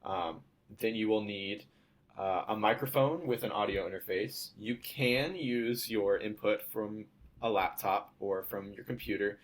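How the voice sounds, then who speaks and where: A young man, a student, walking through a demo of his software project in an informal, step-by-step way. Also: fairly low pitch, a little slow. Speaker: student presenting a class project.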